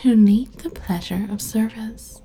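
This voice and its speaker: A woman in an ASMR hypnosis roleplay, speaking slowly and softly in a commanding tone, often slipping into a whisper. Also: a little high, poor audio. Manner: slow, soft, partly whispered, commanding.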